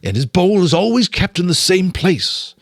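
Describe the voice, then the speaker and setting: A commanding male rat leader, voiced by a man reading aloud, grave and deliberate. His pitch is lifted and moves around a lot, in dramatic audiobook style. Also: big pitch swings, no echo, clean studio sound, heavily acted. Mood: grave, explaining.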